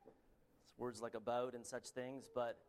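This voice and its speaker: Man, Canadian accent, preacher, mid-pitched voice, earnest, halting with ums. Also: level tone, slight background noise. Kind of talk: sermon with scripture reading.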